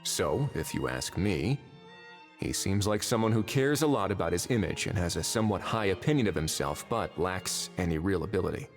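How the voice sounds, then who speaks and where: A low-voiced, shrewd, laid-back investigator. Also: male voice, expressive, soft background music. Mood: pensive. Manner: dry, measured, casual authority. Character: shrewd, easygoing, world-weary male investigating official. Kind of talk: animated video game voice acting.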